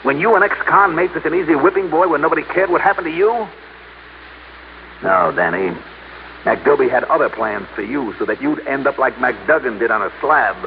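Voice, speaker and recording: An American actor playing a steady, insistent hard-boiled insurance investigator in a 1960s radio drama. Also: male voice, medium pitch, normal speed, gravelly, narrow, tinny audio. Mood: pressing, accusatory. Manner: pointed, insistent questioning. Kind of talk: 1960s radio detective acting. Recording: old, band-limited, hissy radio recording.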